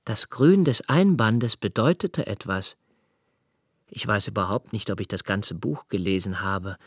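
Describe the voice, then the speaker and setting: An actor playing a pensive, sensitive man who recalls his childhood in reflective, deliberate literary narration, with swells of intensity. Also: fairly low pitch, slightly rough, no echo, clean studio sound. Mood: musing. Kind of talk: literary first-person radio-play narration.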